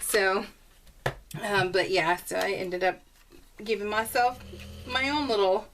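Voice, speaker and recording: A woman with a mid-pitched voice talks to her viewers like friends in a casual craft vlog. She is bubbly and chatty, and her thoughts wander, trail off and circle back. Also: unhurried, fairly bright tone, faint music behind. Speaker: crafting vlogger. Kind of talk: casual rambling chat to viewers.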